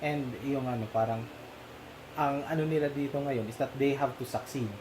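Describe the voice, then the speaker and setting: A man with a Filipino accent chatting casually about a film, switching between Tagalog and English with many pauses and fillers. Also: mid-range voice, steady tone. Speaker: podcast co-host. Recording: noisy home recording.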